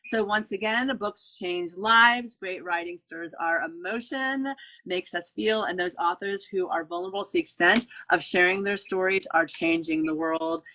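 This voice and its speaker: A woman's voice: on the low side, moderate pace, pushed and bright, poor audio. A woman hosting an author interview, gushing and effusive, often repeating her phrases. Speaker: podcast host. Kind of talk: enthusiastic interview wrap-up.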